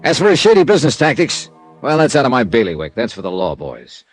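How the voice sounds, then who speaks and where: Wry, lively American radio detective. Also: male voice, medium pitch, fast, faint music behind. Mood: brisk narration. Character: brisk, wry, hard-boiled male insurance investigator. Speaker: lead actor of a radio detective series. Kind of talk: 1950s radio drama acting and first-person narration. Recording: vintage radio recording, thin telephone-like band.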